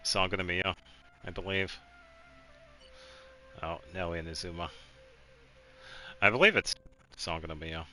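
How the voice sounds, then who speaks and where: A man's voice: deep voice, steady tone, a little slow, long pauses, pushed and bright, faint music behind. A man streaming a video game, chatting in a casual, easygoing and rambling way. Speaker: game livestreamer. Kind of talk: casual chat while playing a game.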